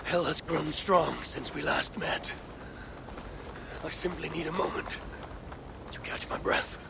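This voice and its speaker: Obsessive warrior god, creaky gravelly voice, solemn, declamatory, proud, game cutscene, loud background noise. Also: male voice, a little high, unhurried. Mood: breathless, grim. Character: proud, obsessive warrior god seeking his lost son. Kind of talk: dramatic video game cutscene acting.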